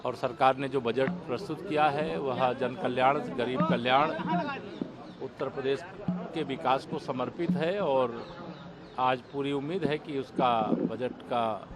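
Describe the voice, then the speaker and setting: A man speaks as a politician making a formal statement to reporters. His pitch is average, and loud outdoor noise surrounds the voice. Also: fairly even intonation, measured pace. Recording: very noisy outdoor urban setting.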